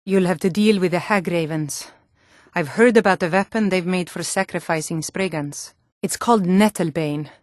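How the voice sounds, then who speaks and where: An actress playing a sturdy, earnest Nord woman in a fantasy video game, with clear, deliberate delivery. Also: fairly low pitch, no echo, clean studio sound, natural voice.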